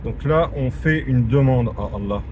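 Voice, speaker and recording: A man's voice: mid-range voice, normal speed. A French man giving an informal spoken religious lesson, talking conversationally with many fillers and restarts and repeating key points for emphasis. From France. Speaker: religious teacher. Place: in a car in traffic. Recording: audible traffic noise from the road.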